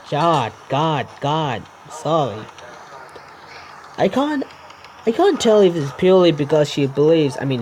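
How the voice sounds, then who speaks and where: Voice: mid-range voice, a little mellow, slight background noise, poor audio. A game streamer reading a visual novel aloud with casual asides, stumbling over words, correcting misreadings and swearing. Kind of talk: let's play narration with casual asides.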